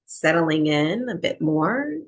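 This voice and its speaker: Slow, low-voiced woman guiding meditation. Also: big pitch swings. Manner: unhurried, with long pauses between short phrases. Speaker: breathwork and yoga nidra teacher. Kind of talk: guided meditation, slow soothing instruction.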